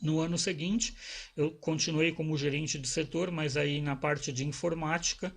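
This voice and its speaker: A man's voice: a little high, fairly even intonation, normal speed, vocal fry. A man, a psychologist talking casually to camera about his own life, in a conversational, rambling way with restarts and tag questions. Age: 40s. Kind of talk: casual personal talk to camera.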